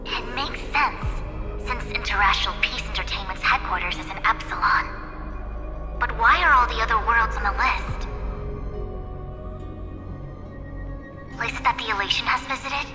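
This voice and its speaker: A woman's voice: on the high side, moderate pace, natural voice. An actress playing a stern, dutiful female envoy in a game cutscene, speaking in a formal, pointed way. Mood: skeptical. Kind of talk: scripted game cutscene acting. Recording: echoey hall reverb, music underneath.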